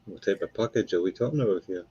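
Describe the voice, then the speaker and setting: A man with a Geordie accent, co-hosting a relaxed live stream and chatting casually, swearing freely and rambling between jokes. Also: low-pitched, fast talker, slightly rough, muffled recording. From North East England.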